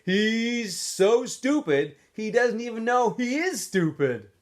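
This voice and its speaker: A man voicing a loud, mocking male camp counselor in a storybook reading. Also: extremely high pitch, very animated, slow, voice pushed up, exaggerated voice. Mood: loud mockery. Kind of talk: storybook character voice.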